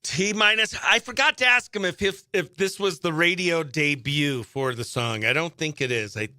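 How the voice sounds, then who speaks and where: A man hosting a morning radio show with casual live chat, talking in an excitable, slangy, exclamatory way. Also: fairly high pitch, normal speed, no echo, clean studio sound. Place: dry radio studio.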